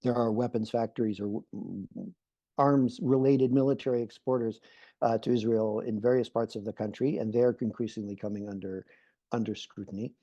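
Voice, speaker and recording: A man's voice: mid-range voice, steady tone, normal speed, dark tone, dry recording. Earnest middle-aged man on a muffled video call. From Canada. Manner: earnest, repeats phrases while building points. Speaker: panelist, peace activist. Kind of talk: online panel talk.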